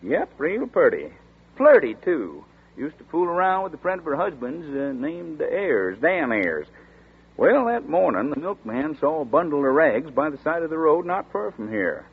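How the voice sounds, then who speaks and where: An actor playing a chatty small-town sheriff's deputy who talks in a folksy rural dialect, in 1940s radio acting. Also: male voice, a little high, sing-song, moderate pace, creaky, slightly rough, exaggerated voice. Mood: storytelling. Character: folksy drawling small-town male deputy, middle-aged. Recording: tinny scratchy 1940s radio broadcast.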